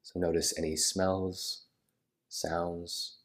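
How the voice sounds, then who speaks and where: A man guiding a meditation in a soothing manner, with unhurried phrasing and gentle pauses. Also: low voice, level tone. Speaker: yoga nidra meditation guide. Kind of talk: guided meditation.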